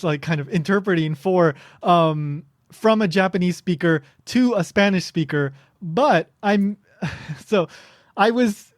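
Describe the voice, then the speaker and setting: A man's voice: high voice, dry recording, clean studio sound. A man hosting a casual panel chat, speaking hesitantly and often chuckling as he goes. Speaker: podcast host.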